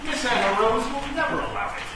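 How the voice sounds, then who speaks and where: An actor playing a scornful, grumbling chess-piece naysayer in a theatrical radio play. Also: male voice, very high voice, brisk. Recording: echoey, distant, noisy stage recording.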